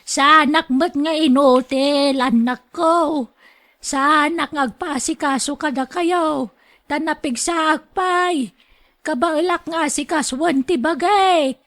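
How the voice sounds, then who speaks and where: A woman acting a frail, stubborn elderly grandmother in a radio drama, with a drawn-out, complaining delivery. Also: high voice, fairly bright tone, heavily acted. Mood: plaintive.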